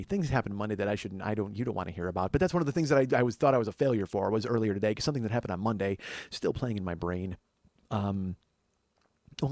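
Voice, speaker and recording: Animated, profane male comedy podcaster. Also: mid-range voice, no room sound. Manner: profane, digressive, self-interrupting, excitable. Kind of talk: rambling comic monologue, casual chat.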